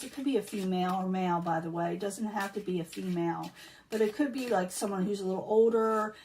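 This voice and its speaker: Hoarse woman reading tarot, a bit off-mic. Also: medium pitch, distant mic, a little hiss or hum, rough recording. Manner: chatty, repeats herself, many um fillers. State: losing her voice, clearing throat. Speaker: tarot reader. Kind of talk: casual tarot card reading.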